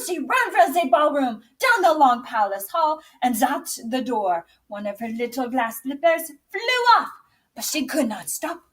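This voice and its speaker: Expressive woman storyteller, high and slow. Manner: expressive, theatrical, deliberate. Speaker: English teacher and drama director reading aloud. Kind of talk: dramatic children's storybook reading.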